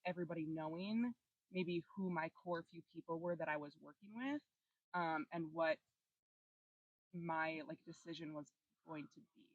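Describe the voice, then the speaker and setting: Young man, loud and chatty, slightly higher voice, dry close mic. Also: normal speed, dry recording, lo-fi sound. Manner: chatty, eager, many likes. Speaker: finalist in an online game. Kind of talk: answering jury questions.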